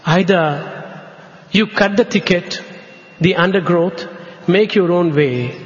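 A man from India with an Indian English accent, a native Telugu speaker, giving a measured devotional talk at a spiritual gathering. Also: high voice. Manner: slow, measured, drawn-out phrases. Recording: echoey hall, dull lo-fi sound.

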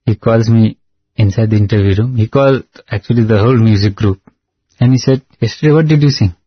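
Husky male guest, Nepali first language, earnest storyteller who slips into song; slightly muffled audio with faint music behind. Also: lowish voice, brisk, no echo. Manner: earnest storytelling, breaks into sung lines. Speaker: singer and guest. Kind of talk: devotional interview chat.

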